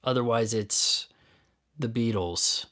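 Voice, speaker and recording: A man in his thirties talks off the cuff to his live-stream chat, his voice sitting at an ordinary middle pitch. He rambles from one thought to the next with crude, sarcastic asides. He often trails off and starts his sentences over. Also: takes it slowly, bright, forceful tone.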